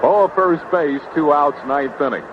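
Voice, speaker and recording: A man's voice: a little high, measured pace, narrow, tinny audio. Male baseball announcer on noisy vintage radio. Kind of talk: radio sports commentary.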